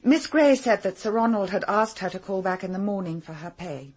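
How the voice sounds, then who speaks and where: An actress playing a cool, haughty English secretary in a radio drama, speaking formally and with composure. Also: female voice, mid-range voice, lively intonation, fairly quick, darkish tone, slightly rough. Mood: composed, formal. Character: cool, brisk, haughty female secretary to a powerful man. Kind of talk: British radio drama acting.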